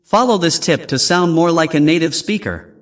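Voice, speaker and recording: A man narrating a language lesson in a slow, clear, announcer-like way. His voice sits a little high and swings widely in pitch. A faint hiss runs underneath. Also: lively intonation. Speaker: English teacher host.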